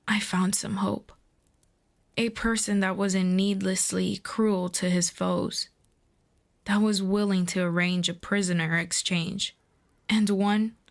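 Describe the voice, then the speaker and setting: A woman playing a proud, earnest demon princess in a fantasy audio roleplay, speaking in a formal, deliberate, persuasive way. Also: mid-range voice, creaky. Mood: solemn, hopeful.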